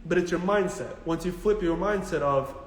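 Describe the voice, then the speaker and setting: Male physio host, slightly high voice, informal and punchy advice, repeats points for emphasis, recorded in a reverberant room. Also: moderate pace. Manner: animated, blunt, jokey, emphatic repetition. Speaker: physical therapist hosting a live Q&A. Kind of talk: casual informal health advice, answering viewer questions.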